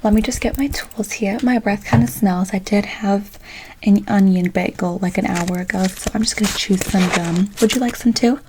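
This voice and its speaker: Soft-spoken woman in chatty ASMR barber roleplay. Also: mid-range voice, normal speed, slight background noise. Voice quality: soft-spoken, often dropping into whisper. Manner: folksy, chatty, calls listener pet names. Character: chatty, tired late-night female barber, sweet and sassy.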